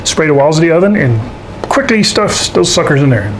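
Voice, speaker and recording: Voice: mid-range voice, sing-song, a little fast, background noise. A folksy, jokey host casually talking through a baking demonstration to camera. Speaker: host of a paranormal show doing a baking segment.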